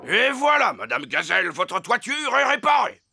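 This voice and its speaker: A man voicing a polite, cheerful male animal handyman with a gravelly voice in a preschool cartoon. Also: extremely high pitch, big pitch swings, normal speed, heavy background noise, heavily acted. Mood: polite, pleased. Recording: loud music underneath.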